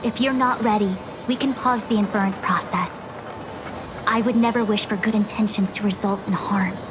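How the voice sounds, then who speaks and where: Female diviner master for a game, played by a woman: measured, formal, authoritative, mid-pitched, prominent music underneath. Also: fairly even intonation, natural voice, low-quality recording. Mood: cautioning, calm. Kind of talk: video game voice acting.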